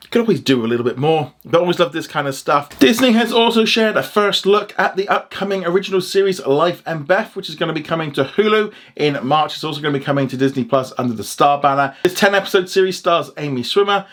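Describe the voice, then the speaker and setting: A man hosting an online entertainment news roundup, moving between scripted reading and casual commentary. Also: a little high. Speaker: host of a streaming news show and podcast.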